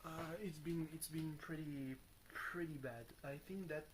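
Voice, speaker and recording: A young man from France with a booming voice, rambling through a casual vlog from his bedroom, full of fillers and restarts, swearing now and then. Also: steady tone, measured pace, slight background noise, rough recording. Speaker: vlogger. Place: small bedroom with reverb.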